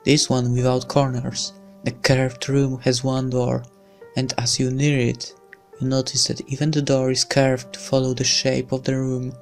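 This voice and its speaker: A let's player slowly reading a visual novel's story aloud in a hushed, theatrical way, with casual asides. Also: medium pitch, monotone, soft background music. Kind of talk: slow dramatic read-aloud of game text with casual asides.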